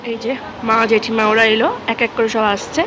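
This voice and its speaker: A woman's voice: on the high side, level tone, fairly quick, background noise. A woman vlogger giving a chatty running commentary as family members arrive at an event. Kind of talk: casual vlog narration. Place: temple grounds, outdoors.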